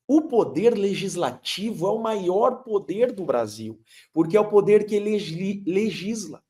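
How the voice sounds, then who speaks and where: A man, a lawyer explaining legal matters emphatically, posing rhetorical questions as he lectures. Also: high-pitched. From Curitiba, Brazil. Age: 30s.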